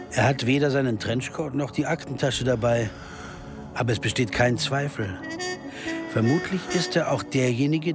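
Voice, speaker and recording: An actor playing a sober, observant pianist sleuth, telling a radio crime story in an understated, deliberate way. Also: male voice, mid-range voice, close to an everyday voice. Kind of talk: first-person radio crime narration and dialogue.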